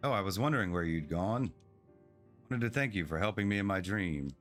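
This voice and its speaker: A man reading aloud as an earnest young girl priestess who wants to prove herself, in an even, sincere tone. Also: deep voice, a little fast, soft background music. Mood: grateful. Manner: reads long dialogue aloud in an even, sincere tone.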